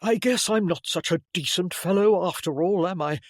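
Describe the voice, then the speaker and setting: A narrator voicing an earnest, apologetic young gentleman with a formal, emphatic way of speaking, in an audiobook story. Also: mid-range voice, normal speed, no room sound, studio quality, close to an everyday voice. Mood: remorseful.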